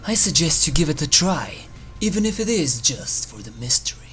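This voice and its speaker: Review host, medium-pitched voice, scripted narration with theatrical, drawn-out delivery and dry wit, over prominent eerie music. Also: sing-song, fairly bright tone. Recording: music under the voice. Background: eerie game soundtrack music.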